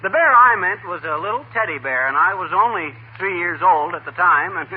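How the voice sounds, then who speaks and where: High-pitched, blustery radio husband. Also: male voice, lively intonation, a little fast, husky. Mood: boastful, flustered. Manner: folksy, chuckling, blustery. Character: blustering middle-aged husband, tall-tale teller, easily flustered. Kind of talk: 1940s radio comedy acting. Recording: tinny, faintly noisy 1940s radio recording.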